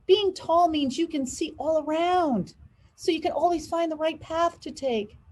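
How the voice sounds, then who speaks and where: A woman's voice: extremely high pitch, unhurried, darkish tone, pitched above the performer's own voice. A woman reading aloud a jovial, joking, encouraging uncle from a children's story.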